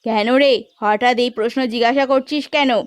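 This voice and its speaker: Stern older mother-in-law for a cartoon, acted by a woman; high voice, reproachful, emphatic. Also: fairly even intonation, normal speed, dry recording, lightly acted. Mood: reflective, grumbling. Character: stern scolding older mother-in-law, proud, protective of her son. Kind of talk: animated story character acting.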